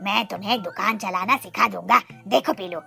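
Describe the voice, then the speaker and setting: A woman's voice: medium pitch, normal speed, creaky, sharp tone, faint music behind, pitched below the performer's own voice. A woman voicing a kindly older male ice cream seller bird with an encouraging, avuncular manner in a cartoon. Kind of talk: Hindi children's cartoon dialogue.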